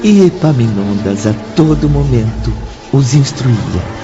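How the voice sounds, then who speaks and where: A man's voice: medium pitch, expressive, measured pace, lo-fi sound. Solemn male narrator over prominent music. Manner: solemn, formal, emphatic. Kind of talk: dramatic scripted radio drama narration.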